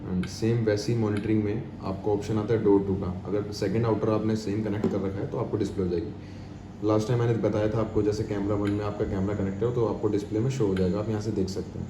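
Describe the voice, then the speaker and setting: An echoey, noisy room recording of an Indian man with a fairly low voice. He walks through a product step by step in an informal, explanatory way. He repeats himself and drops English terms into his speech. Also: steady tone, quick pace. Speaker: product demonstrator. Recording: echoey room with clear background noise.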